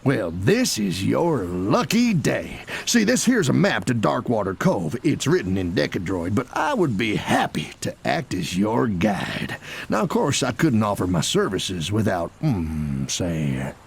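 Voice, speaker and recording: Sly smuggler robot, low creaky voice, wildly swinging pitch, folksy, wheedling. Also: male voice, wildly swooping pitch, measured pace, full character voice. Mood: ingratiating. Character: sly, smooth-talking male smuggler robot, oily salesman.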